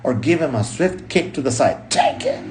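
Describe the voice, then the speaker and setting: A man reading a book aloud expressively, in punchy short phrases with dramatic pauses and emphasis. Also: medium pitch, expressive, darkish tone, slight background noise. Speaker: audiobook reader.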